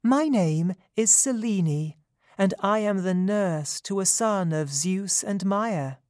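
A sweet, gentle mountain fairy from a storybook myth, as a reader puts her on, her voice lifted a little higher and kept soft. She talks slowly and with lots of melody. The recording is dry and close. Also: animated, no room sound.

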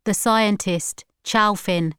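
Woman doing a stern, zealous religious man, clear-voiced. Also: mid-range voice, takes it slowly, fairly bright tone, dry recording, studio quality, pitched above the performer's own voice. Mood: accusing.

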